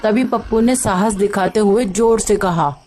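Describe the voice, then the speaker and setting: A woman's voice: mid-range voice, a little slow, a little mellow, slight background noise. A woman reading a scripted story as its narrator.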